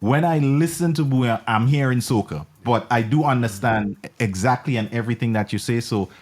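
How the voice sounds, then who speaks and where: Male host, Caribbean accent, mid-pitched, playful and bantering, voice jumps up when joking. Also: normal speed, a little mellow, slight background noise. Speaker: radio show host. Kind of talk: casual on-air interview.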